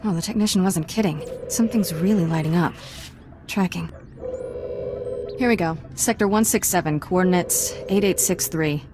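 Actress as plucky young Jedi Padawan, low female voice, wry, casual, game cutscene. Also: moderate pace, music in the background, lightly acted. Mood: wry, determined. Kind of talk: video game cutscene acting.

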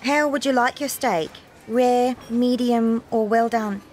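A woman's voice: a little high, fairly even intonation, takes it slowly, a little mellow, a little hiss or hum. A woman reading the part of a polite, apologetic waitress in a coursebook dialogue.

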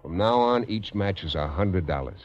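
Low-voiced, tough working man. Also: expressive, normal speed, a little hiss or hum. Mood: sardonic, needling. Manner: gruff, blunt, needling. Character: tough, sardonic working-class man adrift in a lifeboat. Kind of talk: classic radio drama acting. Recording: muffled old radio recording.

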